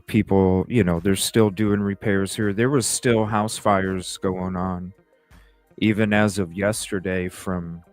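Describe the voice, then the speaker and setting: A man's voice: fairly low pitch, no echo. A man hosting a casual true crime livestream from home, rambling with lots of uhs and some cursing. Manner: slow, rambling, with frequent uhs and mild cursing.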